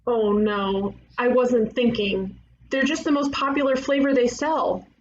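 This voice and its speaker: A woman playing a brash, excitable young twin-sister ghost detective in an animated comedy table read, with an emphatic, theatrical delivery. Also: on the high side, a little slow, echoey room, lo-fi sound, lightly acted. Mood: sheepish.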